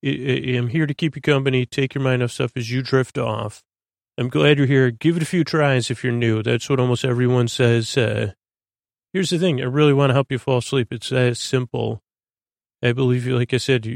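A man hosting a bedtime podcast in a creaky, gentle, lulling voice, meandering hesitantly off into tangents. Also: mid-range voice, steady tone, a little fast, no echo, clean studio sound. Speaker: host of a bedtime-story podcast. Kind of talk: rambling sleep-podcast monologue.

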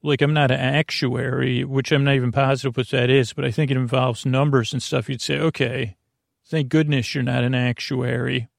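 A man hosting a bedtime podcast in a creaky voice, meandering and repetitive, halting and wandering off on tangents. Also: mid-range voice, level tone, no room sound, clean studio sound. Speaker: podcast host. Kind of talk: rambling bedtime podcast monologue.

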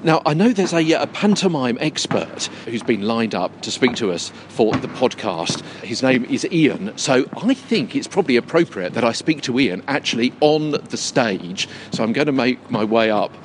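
A man presents a podcast from a location with light noise behind him. His voice sits at a medium pitch. He is chatty and informal as he interviews and describes his surroundings. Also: very animated, normal speed, few pauses. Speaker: podcast host. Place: inside a big top tent in a park.

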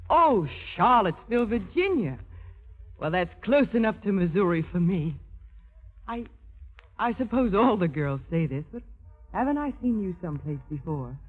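An actress playing a warm, teasing female wartime entertainer who coaxes with playful patter in a 1950s radio drama. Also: mid-range voice, sing-song, normal speed, husky. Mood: gentle, teasing. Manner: playful, encouraging stage patter with questions. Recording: tinny, muffled 1950s radio recording.

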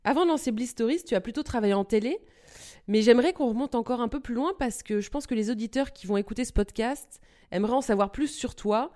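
A woman's voice: fairly high pitch, fast talker, mellow. A woman hosting a podcast, reading an introduction and putting questions to a guest. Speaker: podcast host, interviewer. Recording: music behind the voice.

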